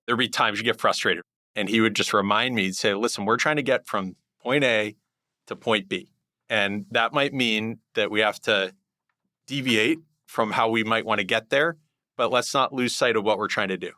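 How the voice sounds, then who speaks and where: A middle-aged man answering podcast interview questions in a thoughtful, deliberate way, with pauses. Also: fairly low pitch, pushed and bright, no room sound, clean studio sound. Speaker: guest, hedge fund group head.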